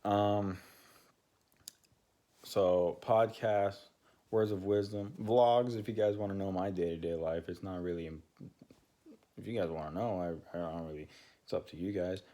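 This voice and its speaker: A young man with a deep voice, talking casually to his channel's viewers and rambling with many fillers and restarts. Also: steady tone, unhurried, pauses often, mellow. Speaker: channel co-host. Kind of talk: casual talk to camera.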